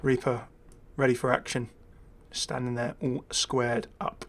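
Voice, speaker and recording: A man's voice: medium pitch, little pitch movement, a little slow. A man giving casual, enthusiastic commentary on a game update in a chatty way. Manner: chatty, enthusiastic, readily amused. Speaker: YouTube gaming creator.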